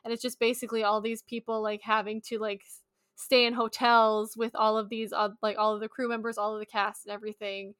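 A woman with a bright, forward voice, pitched a little high, chats casually as a podcast co-host. Her talk is loose, rambling and unfiltered, in a dry, close-sounding recording. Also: little pitch movement, brisk, clear, resonant tone, no echo. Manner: informal, rambling, sweary. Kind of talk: casual chat.

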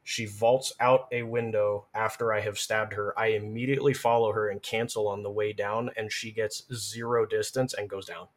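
A man's voice: on the low side, little pitch movement, normal speed, few pauses. A man chatting casually as a guest, explaining video game play in detailed, analytical fashion. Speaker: podcast guest, gamer.

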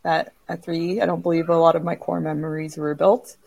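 A woman's voice: sits low, flat delivery, brisk, creaky, darkish tone. A woman from Canada, born in Kenya, speaking casually as a guest in a conversational interview. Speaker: podcast guest.

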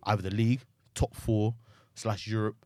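A man hosting a casual football podcast, slangy and animated, calling everyone bro. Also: fairly low pitch, moderate pace, no echo, clean studio sound. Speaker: podcast host.

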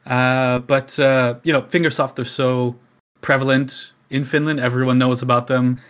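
A man's voice: medium pitch, flat delivery, moderate pace, a bit bright. Easygoing Canadian man chatting. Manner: easygoing, chatty, laughs often, many fillers. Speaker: game company community staff guest. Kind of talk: casual podcast chat.

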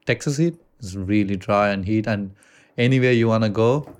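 A man with an Indian accent hosting a casual podcast chat, talking loosely with frequent swearing and halting restarts. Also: on the low side. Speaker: podcast host.

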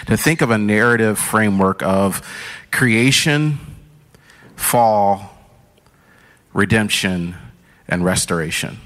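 A man's voice: lowish voice, fairly bright tone, big-room sound. A man giving a sermon from a church stage, conversational, with many fillers and restarts. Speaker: pastor.

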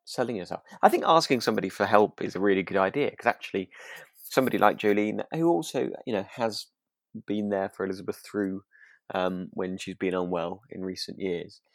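British male co-host, low register, dry sound, relaxed but halting conversational delivery. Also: no echo. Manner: hesitant, often repeats words mid-sentence. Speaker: podcast co-host. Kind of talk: relaxed chat discussing a radio drama.

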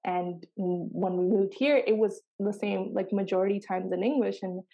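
A woman who grew up speaking Pashto and Farsi talks in a reflective podcast conversation. Her voice is mellow and a little gravelly, and she searches for words and often starts over. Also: mid-range voice, little pitch movement, normal speed, dull audio. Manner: earnest, searching, many restarts. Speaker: podcast co-host.

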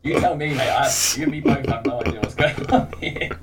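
A man's voice: on the high side, brisk, a crowd in the background. An English man, a podcast guest who streams games, talking in a chatty, self-deprecating way and laughing often. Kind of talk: casual chat.

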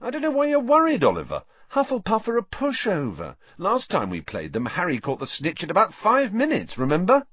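A breezy, cocky schoolboy from a storybook , put on by a man. His pitch sits well up and leaps about, sounding dismissive. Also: wildly swooping pitch, brisk, few pauses, slightly rough, no echo, highs cut off, heavily acted. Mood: impatient, dismissive. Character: cocky, impatient teenage boy teammate.

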